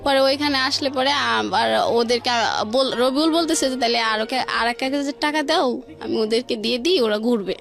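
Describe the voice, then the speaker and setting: উঁচু স্বরের বাংলাদেশি উচ্চারণের নারী. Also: female voice, high voice, normal speed, creaky, fairly bright tone. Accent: Bangladeshi. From Bangladesh. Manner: colloquial, strings events together with quoted dialogue. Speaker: guest telling a personal story. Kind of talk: informal personal storytelling. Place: radio studio. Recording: faint music behind. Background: faint music.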